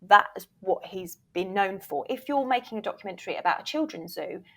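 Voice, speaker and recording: A woman's voice: medium pitch, expressive, fairly quick, gravelly, a bit bright. A woman giving advice as an interview guest in casual talk, encouraging and emphatic. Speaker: interview guest, voiceover reel producer and former agent.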